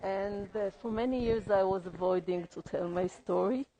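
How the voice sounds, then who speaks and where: A woman artist from Poland speaks hesitantly, pausing often with fillers as she explains her work. She is recorded indoors in a museum gallery. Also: medium pitch, heavy background noise. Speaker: exhibiting artist.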